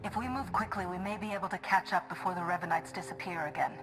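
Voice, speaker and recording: Woman acting a level-headed game heroine, direct, serious, controlled, lowish pitch, soft music behind. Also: fairly even intonation, fast talker, natural voice. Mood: determined, serious. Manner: earnest, controlled, to the point. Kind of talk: video game cutscene acting.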